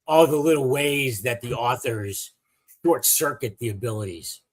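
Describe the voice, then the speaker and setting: A male podcast host with a voice of everyday pitch chats easily with his guest. He asks curious questions and throws in jokes as he goes. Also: normal speed. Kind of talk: casual interview chat.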